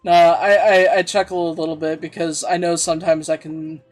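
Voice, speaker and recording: High-voiced man rambling on a stream. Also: level tone, normal speed, soft background music. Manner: rambling, self-deprecating, laughs easily. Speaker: game streamer. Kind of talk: casual chat while playing and reading chat.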